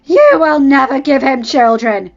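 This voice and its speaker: A woman's voice: high-pitched, fairly even intonation, measured pace, highs cut off, voice pushed up. A woman voicing a furious, scornful middle-aged noble lady who hurls insults at a rival. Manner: shrieking insults, venomous.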